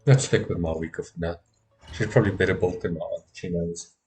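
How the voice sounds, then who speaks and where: A man with a fairly low voice gives casual live gameplay commentary over game music. He talks to himself, repeats little phrases and swears mildly as he plays. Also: fast, darkish tone. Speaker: video game streamer. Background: video game music.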